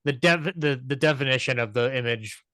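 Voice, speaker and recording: A man on a podcast panel chatting casually, haltingly repeating words as he searches for the next one. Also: medium pitch, a bit bright, smooth, no room sound. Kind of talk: casual chat about old television.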